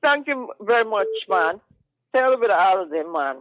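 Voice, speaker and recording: A man speaking in an animated, urging way. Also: high voice, normal speed, highs cut off, slight background noise.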